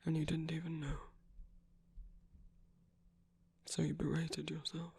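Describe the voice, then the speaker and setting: Man voicing a breathy, creaky, tender villain. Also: a little high, completely flat delivery, heavily acted. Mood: gentle, reassuring. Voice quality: breathy, husky, slightly creaky. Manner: measured, halting, hushed delivery with pauses. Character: gentle, wry villain magic user comforting a loved one. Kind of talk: soft intimate ASMR roleplay.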